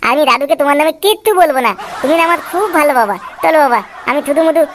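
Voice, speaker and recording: A woman's voice: sits high, racing, a little hiss or hum, close to an everyday voice. A woman voicing a whiny, eager little boy in a comic cartoon. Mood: excited, eager. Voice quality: babyish lisp. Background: music.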